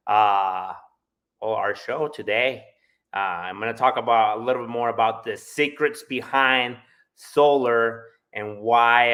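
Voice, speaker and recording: A man's voice: medium pitch, bright, forceful tone. Male sales entrepreneur, native Spanish speaker with Spanish accent, emphatic casual podcast delivery. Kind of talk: casual live podcast talk.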